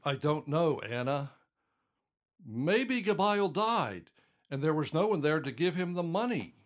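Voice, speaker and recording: A man's voice: fairly high pitch, sing-song, a little slow. An actor playing a stubborn, devout old blind father in an audio drama. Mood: exasperated.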